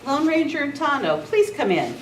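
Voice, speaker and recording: A woman's voice: sits high, big pitch swings, moderate pace. A woman playing a gracious university official in an old-time radio script reading. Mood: welcoming. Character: gracious female university official welcoming heroes. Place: club meeting room, live presentation. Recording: echoey, noisy room, distant mic.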